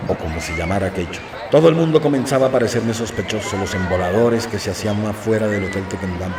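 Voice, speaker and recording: A Colombian man with a gravelly voice giving scripted, first-person dramatic narration for an audio novel. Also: lowish voice. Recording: roomy, slightly distant, faint noise.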